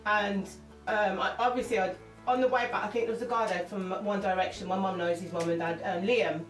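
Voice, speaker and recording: A woman's voice: mid-range voice, fairly even intonation, a little fast. Woman, interview guest, open and emotional, laughs easily, distant roomy mic. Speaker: interview guest, singer and actress. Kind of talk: candid conversational interview. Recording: echoey room, mic far off, music underneath.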